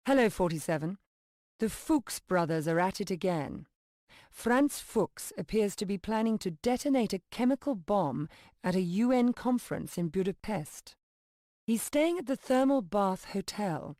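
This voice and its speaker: Actress as game mission handler, woman, dark soft tone, dry close sound, formal, composed, businesslike. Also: mid-range voice, animated, measured pace, dry recording, close to an everyday voice. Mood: cool, businesslike. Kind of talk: scripted mission briefing, video game.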